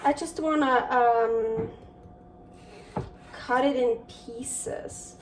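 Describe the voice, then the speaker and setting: High-voiced Swiss woman, slow and chatty. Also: far from the mic, noisy background. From Switzerland. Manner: chatty and rambling, many fillers and restarts. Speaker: cooking show host. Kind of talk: casual cooking vlog chat. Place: home kitchen.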